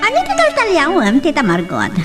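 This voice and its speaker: A woman's voice: high voice, wildly swooping pitch, a little fast, loud music behind the voice. A woman playing an irritable, jealous, spoiled younger woman in a radio-style drama, with an Ilocano accent and a whiny, complaining manner. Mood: irritated, whining.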